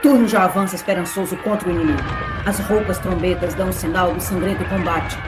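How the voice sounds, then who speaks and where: A woman's voice: lowish voice, fairly even intonation, fast talker, roomy sound, a crowd in the background. A woman with a Brazilian accent giving dramatic scripted narration of an epic tale. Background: music.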